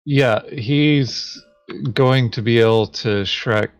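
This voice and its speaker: A man's voice: medium pitch, slow pace, clean tone, dry recording. A man talking casually as he plays a board game with a friend. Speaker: tabletop board game player. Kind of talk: casual chat during board game play.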